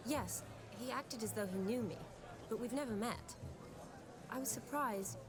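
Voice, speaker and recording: An actress playing a gentle, curious young female healer in a game cutscene. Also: on the high side, a little slow, music in the background, crowd noise, close to an everyday voice. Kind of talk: video game cutscene acting.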